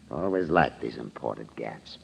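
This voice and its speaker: An actor playing a cold-blooded, smug, commanding gangster killer in an old-time radio crime drama. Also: male voice, extremely low pitch, creaky, husky, full character voice. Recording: dull vintage radio recording.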